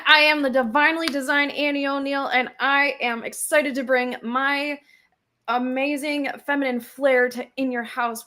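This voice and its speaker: A woman's voice: sits high, pushed and bright. A woman who works as a ring announcer, talking as a co-host in an upbeat, self-assured, motivational way. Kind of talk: casual livestream chat.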